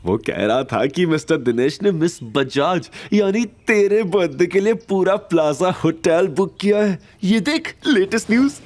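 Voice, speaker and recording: A man's voice: high voice, animated, unhurried, hardly any pauses, a little mellow, pitched above the performer's own voice. A man voicing a scheming middle-aged mother in an audio drama, gleeful and teasing.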